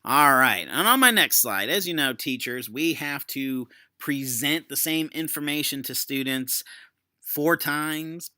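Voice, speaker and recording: A man's voice: fairly high pitch, lively intonation, unhurried, pushed and bright, no room sound, studio quality. A man giving a casual screen-share tutorial as an educator, explaining things conversationally. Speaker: educator demonstrating a software tool.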